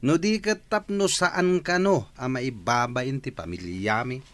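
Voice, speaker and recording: A man's voice: medium pitch, unhurried, a bit bright, dry recording, natural voice. A man playing a drunken, aggrieved grown son in an Ilocano comedy radio drama, sulky, mumbling and bursting out, with an Ilocano Filipino accent.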